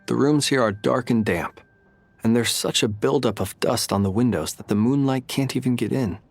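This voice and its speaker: A man playing a courteous, composed gentleman in a video game, speaking with polite, dry understatement. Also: mid-range voice, moderate pace, dry recording, soft background music, natural voice. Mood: calm, obliging. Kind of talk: video game cutscene dialogue.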